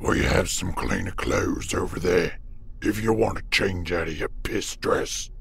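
A narrator voicing a gruff, grunting boar-man guard, rough and blunt, in an audiobook story. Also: sits low, unhurried, voice pushed down, exaggerated voice. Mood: gruff, terse. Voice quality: gravelly, rough. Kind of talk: audiobook character voice.

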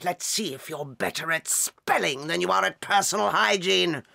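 A sharp, forceful headmistress, with a very gravelly voice. She barks and sneers like a born bully, browbeating everyone in this audiobook drama. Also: fairly low pitch, normal speed, full character voice. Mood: outraged contempt. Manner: barking, contemptuous, browbeating.

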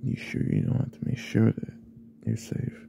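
A man playing a possessive, obsessive young classmate in an ASMR roleplay, speaking in a hesitant, breathy half-whisper. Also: normal speed, a little hiss or hum, close to an everyday voice. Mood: protective, possessive. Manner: hesitant, hushed, probing.

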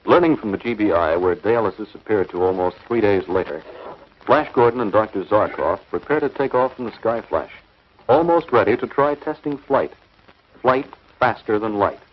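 A man's voice: fairly low pitch, animated, normal speed. A man reading scripted narration for a 1950s television sci-fi serial. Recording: muffled vintage TV soundtrack, music behind.

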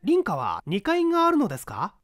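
Guy putting on a high keyed-up young sleuth, lively swings, dry sound. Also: a little fast, no echo, heavily acted. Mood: questioning. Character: earnest unemployed young man, eager detective. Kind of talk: dramatic mystery reading.

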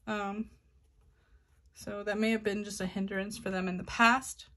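A woman's voice: medium pitch, level tone, measured pace, fairly bright tone. A woman hosting her own channel, talking to camera in a chatty, casual way with many fillers and restarts as she sets up a tarot reading. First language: not Korean. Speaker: tarot reader, channel host.

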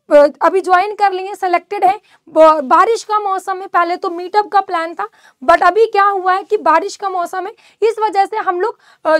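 A young woman exam-prep teacher speaking to students in an animated, direct way, gesturing in a studio with a lapel mic. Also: very high voice, dry recording. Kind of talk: energetic online class announcement. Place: studio in front of a presentation screen.

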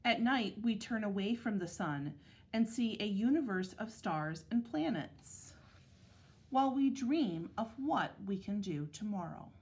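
Slow-reading woman teacher, children's picture book. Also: medium pitch, expressive, darkish tone. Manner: measured, deliberate phrasing. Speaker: elementary school teacher reading aloud. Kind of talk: read-aloud picture book narration for children.

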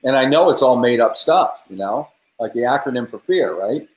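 A man's voice: mid-range voice, animated, moderate pace. A man, a musician guest telling his story earnestly over a webcam from a room at home. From New England. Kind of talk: casual video-call interview. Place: home room with guitars, indoors. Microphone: video call webcam. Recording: roomy webcam audio.

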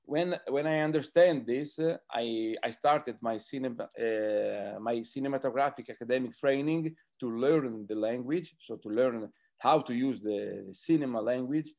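A man's voice: mid-range voice, a little mellow. A man in his thirties, a native Italian speaker with an Italian accent, talking hesitantly as a panelist on a video call and searching for words. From Italy. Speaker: film director speaking on a panel. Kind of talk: roundtable talk in a second language. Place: small book-lined home room, indoors. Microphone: computer on a video call.

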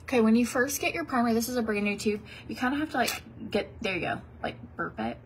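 A woman's voice: a little high, expressive, fast, a little hiss or hum, low-quality recording. A sniffly woman in her thirties from Tennessee, chatting in a rambling, casual way while getting ready in a hotel room, talking into a phone held at arm's length. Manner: chatty and rambling, lots of likes and ums. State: sniffly from a cold. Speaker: beauty vlogger. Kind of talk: casual get-ready-with-me chat. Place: fancy hotel room, indoors.

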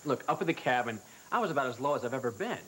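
A man's voice: medium pitch, lively intonation, a bit bright, a little hiss or hum, close to an everyday voice. An actor playing a troubled young man on a 1990s daytime soap who dodges his father and speaks defensively, stumbling over his words. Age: young adult. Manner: defensive, halting, restarts. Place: indoor studio set.

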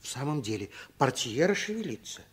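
A man narrating a children's radio drama as a storyteller, in a hushed, suspenseful way. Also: mid-range voice, sing-song, a little fast, slightly rough.

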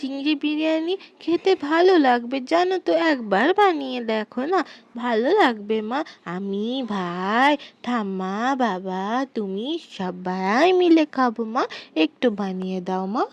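A woman's voice: fairly high pitch, animated, takes it slowly, vocal fry, highs cut off, heavily acted. A woman voicing an eager young village girl in a cartoon, pleading in a childlike, sing-song way.

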